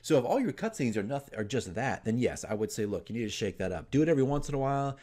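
A man's voice: mid-range voice, big pitch swings, fast talker, mellow. A man in his forties with a mid-range voice talks casually into a desk microphone in a room at home. He is conversational and emphatic, giving his opinions like a host advising fellow hobbyists. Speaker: dungeon master giving tips as channel host. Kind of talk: casual opinion talk to camera. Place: small furnished room at home, indoors. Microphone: desk microphone in front of him.

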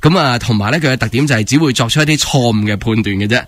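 A man's voice: medium pitch, very fast, fairly bright tone, no room sound. A man with a Hong Kong Cantonese accent chatting casually as a radio host, in a conversational, loosely phrased way. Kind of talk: casual radio chat, rambling explanation. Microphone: close studio microphone.

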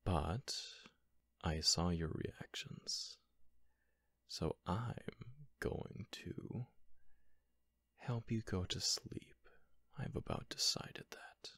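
A man playing a flirty, affectionate roommate in a soft-spoken, intimate ASMR roleplay, gently teasing and pausing often, close to the microphone. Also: low voice, husky, no echo, exaggerated voice. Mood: tender, teasing. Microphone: close microphone, dry sound.

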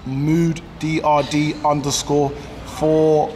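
A man's voice: a little high, flat delivery, takes it slowly, a little mellow, slight background noise. A man, vlogging with playful, teasing banter in a busy cafe, filming himself on a handheld camera. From England. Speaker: travel vlogger. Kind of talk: casual joking vlog chat. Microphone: handheld action camera at arm's length. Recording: crowded cafe with long reverb.

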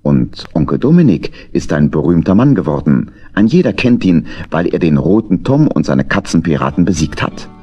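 A man's voice: low voice, big pitch swings, normal speed, few pauses, dark tone, slightly rough, highs cut off. A man narrating a children's audio drama in scripted storybook style.

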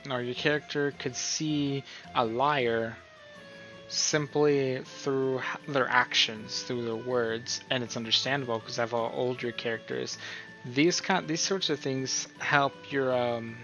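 A man's voice: medium pitch, steady tone, unhurried, a bit bright. A man giving a casual screen-recorded tutorial, thinking aloud informally and often checking in with the listener. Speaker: tutorial host. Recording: dull, lo-fi sound with music.

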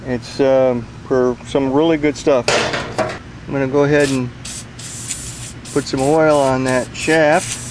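A middle-aged man working as an HVAC technician, explaining a repair step by step in a plain, unhurried way with many pauses, recorded outdoors beside an air conditioning unit. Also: mid-range voice, level tone, background noise. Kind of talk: hands-on repair tutorial.

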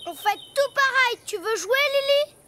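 A woman's voice: very high voice, lively intonation, moderate pace. A woman voicing a playful, proud little boy donkey in a children's cartoon, with a bouncy, childlike delivery. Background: children's cartoon music.

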